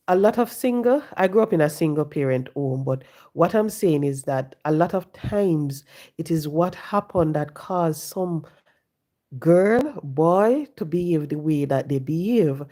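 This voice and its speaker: A woman, hoarse and congested from the flu, talking on a casual live stream, preaching and repeating her points to viewers from home. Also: low-pitched, mellow. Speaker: livestream host. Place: home, sitting.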